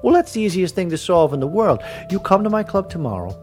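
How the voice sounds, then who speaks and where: A man voicing a pushy, scheming male lawyer who wheedles and coaxes in a raised, lively voice. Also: high-pitched, quick pace, over music, voice pushed up. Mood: wheedling.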